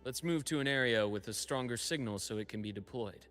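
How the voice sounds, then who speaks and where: Man as a measured, responsible academy teacher. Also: mid-range voice, fairly bright tone, faint music behind, close to an everyday voice. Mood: explanatory. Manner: measured, matter-of-fact. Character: calm, responsible adult male academy teacher. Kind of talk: video game voice acting.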